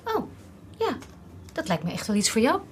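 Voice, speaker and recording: Practical student, high voice, casual, coaxing, slight background noise, radio play. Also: female voice, wildly swooping pitch, moderate pace. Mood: encouraging. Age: young adult. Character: practical, down-to-earth young female student, gently coaxing. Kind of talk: naturalistic modern radio drama.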